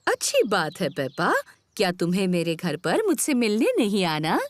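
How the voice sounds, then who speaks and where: Swooping, playful cartoon granny pig. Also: female voice, lowish voice, very animated, normal speed, dry recording. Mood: coaxing, playful. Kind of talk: children's cartoon dub.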